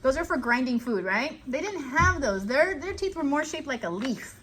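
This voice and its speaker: Woman, kids' educational host. High, bright voice, chatty and direct, informal live-stream lesson with faint noise behind. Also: moderate pace. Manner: animated, chatty, addresses children directly with frequent check-in questions. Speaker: children's educational show host.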